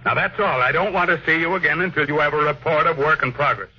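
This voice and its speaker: An actor playing a domineering, impatient millionaire industrialist with a brusque, commanding manner in an old-time radio drama. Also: male voice, fairly high pitch, fast, highs cut off, close to an everyday voice. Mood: curt, commanding. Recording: noisy, band-limited old radio recording.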